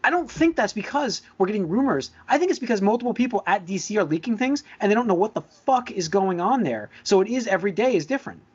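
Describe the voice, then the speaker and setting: A man's voice: high voice, animated, quick pace, hardly any pauses, breathy edge, muffled recording. A man co-hosting a casual movie podcast, giving blunt, opinionated takes with some swearing. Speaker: podcast co-host. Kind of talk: casual movie discussion among friends.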